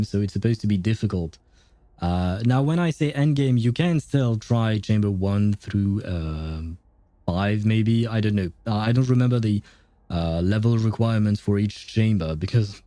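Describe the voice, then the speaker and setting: A man's voice: lowish voice, dark tone, no echo. A man hosting a game livestream, giving casual, rambling commentary as he thinks aloud. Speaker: livestream host.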